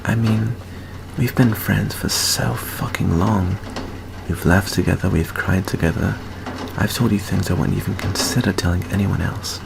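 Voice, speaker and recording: A man playing an affectionate, anxious young student in an ASMR roleplay, speaking softly and hesitantly in an intimate hush. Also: low-pitched, fairly even intonation, moderate pace, creaky, background noise. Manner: soft, hushed, hesitant, intimate. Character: anxious, affectionate young male vampire student, sentimental best friend.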